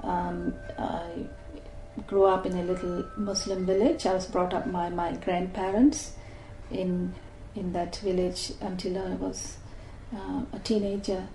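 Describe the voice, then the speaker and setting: A woman's voice: lowish voice, level tone, a little slow, mellow, faint music behind. Give me a woman in her sixties from Malaysia, talking thoughtfully in an interview, recorded in an echoey room. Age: 60s. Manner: thoughtful, with many fillers and restarts. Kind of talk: oral history interview.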